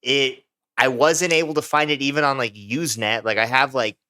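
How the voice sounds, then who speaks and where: A man's voice: mid-range voice, steady tone, normal speed, bright, forceful tone. A young American man, co-hosting a podcast in casual, rambling chat full of filler words. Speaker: podcast co-host.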